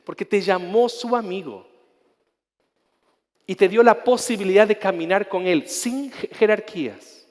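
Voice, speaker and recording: Impassioned Chilean pastor in a reverberant hall. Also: male voice, high voice. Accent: Chilean Spanish. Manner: impassioned preaching with repetitions and restarts; soft, intimate prayer. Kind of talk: sermon ending in prayer.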